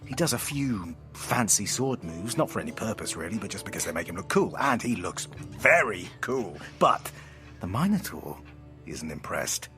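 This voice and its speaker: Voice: deep voice, big pitch swings, moderate pace, over music. An actor in an audio drama playing a theatrical, playful storyteller friend with hammy flourishes and sheepish, affectionate asides. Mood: gleefully theatrical.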